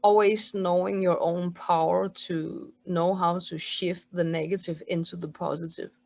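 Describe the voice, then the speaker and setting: A woman's voice: on the low side, flat delivery, measured pace, vocal fry, darkish tone. A woman from Denmark in her forties with a Danish accent, a medium and healer talking earnestly in a casual video-call interview, English as her second language. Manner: earnest, laughs often. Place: indoors at home.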